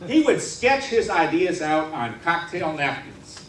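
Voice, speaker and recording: A man telling a comic tall tale on stage in a theatrical, mock-serious way with dramatic pauses. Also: a little high, sing-song, a bit bright. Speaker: humorous contest speaker. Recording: roomy hall, distant mic, faint noise.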